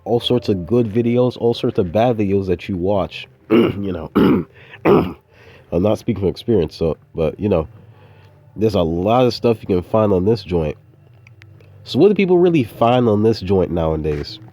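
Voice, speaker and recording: A man's voice: on the low side, expressive, normal speed, dark tone, no room sound. A young adult man rambling casually in a laid-back, slangy, meandering way, like an informal audio diary. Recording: dull, muffled lo-fi audio.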